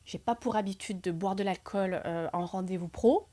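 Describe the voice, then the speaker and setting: A young woman co-hosting a real estate podcast, casually telling a lively anecdote with frequent fillers and laughs. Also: mid-range voice, normal speed. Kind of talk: casual storytelling chat.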